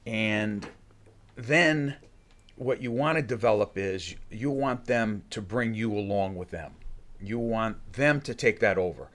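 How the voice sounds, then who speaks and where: Older man, low voice, halting speech. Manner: halting, many restarts, acts out fighters' thoughts. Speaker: fight coach. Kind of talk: casual interview talk. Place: studio room with bookshelves. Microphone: studio mic on a boom arm.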